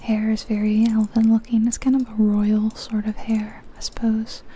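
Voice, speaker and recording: Softly whispering woman, ASMR style. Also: fairly high pitch, completely flat delivery, moderate pace, a little hiss or hum, rough recording. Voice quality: breathy unvoiced whisper. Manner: gentle, chatty musing. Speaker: ASMR video host. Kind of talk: ASMR whispering, casual game commentary.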